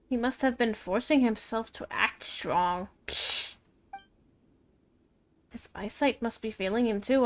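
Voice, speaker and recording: High-voiced woman streaming a game. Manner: slangy, playful. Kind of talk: casual live game reaction.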